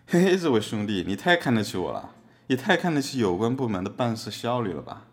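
A man's voice: medium pitch, sing-song, fast. A man playing a defiant activist artist on trial, wry and conversational, in a podcast drama. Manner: wry, conversational, rhetorical questioning. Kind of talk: scripted podcast courtroom drama.

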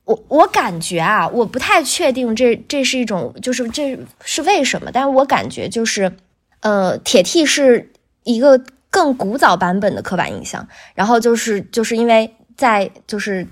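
A woman with a fairly high voice talks casually as a podcast guest. She works out her points aloud, circling back and repeating phrases as she explains. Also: sing-song, moderate pace. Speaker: guest voice actor.

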